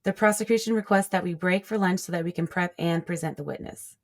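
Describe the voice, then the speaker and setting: A woman's voice: on the low side, fast talker, close to an everyday voice. A woman playing a formal, assertive prosecutor in an audio drama.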